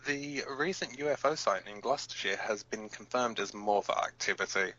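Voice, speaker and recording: A man playing a gruff, battle-scarred resistance fighter co-hosting an underground radio broadcast in an audio drama, blunt, profane and halting. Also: on the low side, noisy background, close to an everyday voice. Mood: bitter. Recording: dull lo-fi sound.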